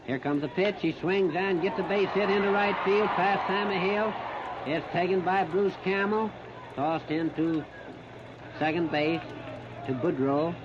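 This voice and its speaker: An American man calls live baseball play-by-play on the radio in short, plain-spoken, folksy phrases. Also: a little high. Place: outdoor ballpark. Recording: noisy, muffled 1930s radio broadcast recording.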